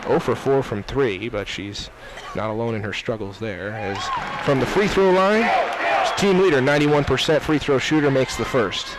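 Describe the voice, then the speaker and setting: A man's voice: medium pitch, moderate pace. A young man calling live basketball play-by-play in clipped running phrases, raising his voice for key plays. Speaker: play-by-play announcer. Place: courtside in a basketball gym. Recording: noisy gym with loud crowd behind. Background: crowd, bouncing basketballs.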